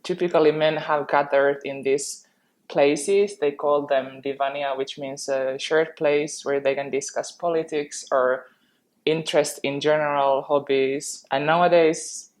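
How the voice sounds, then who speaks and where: A woman's voice: deep voice, darkish tone. A woman from Finland with a Finnish accent, casually explaining and chatting as a podcast co-host.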